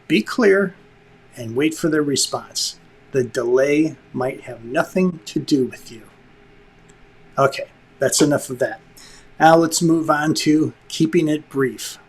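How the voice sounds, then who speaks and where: A man with a slightly husky voice presenting a lesson as host, conversational and emphatic, with joking asides. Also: fairly high pitch. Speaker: instructor and show host, film director.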